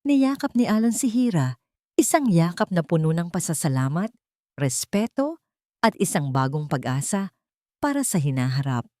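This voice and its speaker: A woman's voice: on the low side, very animated, moderate pace, warm tone, dry recording, studio quality. A woman giving an earnest, scripted dramatic narration as a sentimental storyteller. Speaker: storyteller and channel host.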